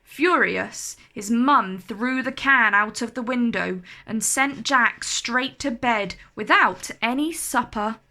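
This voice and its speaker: A woman reading a children's storybook aloud in an expressive, animated, carefully enunciated way. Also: on the high side, takes it slowly, hardly any pauses.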